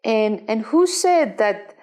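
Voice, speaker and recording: A woman's voice: a little high, animated, takes it slowly, warm tone. A woman from Estonia talks as a guest in an interview, her speech earnest and full of small restarts. Her English has a non-native shape, with phrasing that stops and reaches for the next word. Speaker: guest, professional swimmer. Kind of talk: conversational interview.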